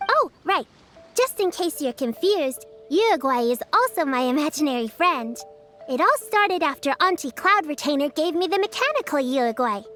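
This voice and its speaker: An earnest, caring little girl in a game, very high and lively, soft music behind. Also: moderate pace. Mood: cheerful. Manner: sweet, earnest, looks after her friends.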